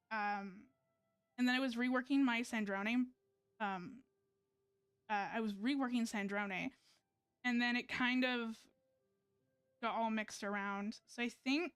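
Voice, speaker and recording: A woman with an exceptionally clean, smooth voice on the higher side chats casually on a gaming live stream. She thinks aloud hesitantly as she plays. The sound is close and dry. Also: fairly bright tone, no echo. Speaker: video game live streamer. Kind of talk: casual chat to stream viewers while gaming.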